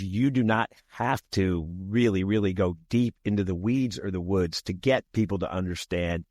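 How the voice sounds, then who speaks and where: A man's voice: deep voice, a little mellow, no echo, studio quality. A man in his sixties co-hosting a podcast, talking in a folksy, repetitive way with the odd chuckle. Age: 60s. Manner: folksy, repeats himself, chuckles. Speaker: podcast co-host. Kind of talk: casual podcast discussion.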